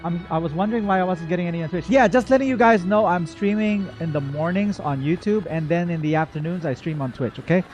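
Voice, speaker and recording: Casual male streamer, highish voice, muffled audio. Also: quick pace, few pauses, a little mellow. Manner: rambling, informal, self-correcting. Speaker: livestream host. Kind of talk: casual chat to viewers, game reaction. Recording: dull, muffled audio with faint music.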